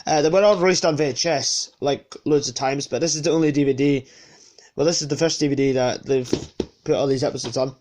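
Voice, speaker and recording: A man's voice: a little high, fairly even intonation, fairly quick, background noise. A man with a sniffly cold casually presenting his collection unscripted, hesitating and correcting himself as he goes. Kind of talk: casual unscripted show-and-tell.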